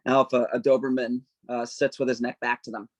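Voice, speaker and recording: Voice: mid-range voice, little pitch movement, brisk, no echo, lo-fi sound. Table-read narrator, close dry sound, informal delivery of screenplay directions. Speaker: narrator reading stage directions.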